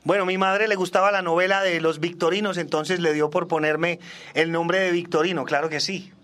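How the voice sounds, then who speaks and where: A man's voice: high voice, fairly even intonation, normal speed, a bit bright. A man in his forties from Australia, a native English speaker, chatting casually as a musician guest in a radio interview. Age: 40s. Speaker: salsa singer and trombonist, guest.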